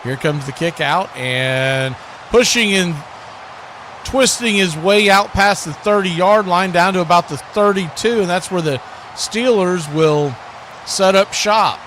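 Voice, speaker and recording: An American man calling live football play-by-play in an excitable, colloquial radio style, repeating phrases as the action builds. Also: sits high, slow. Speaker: play-by-play sports announcer. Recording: faint background noise.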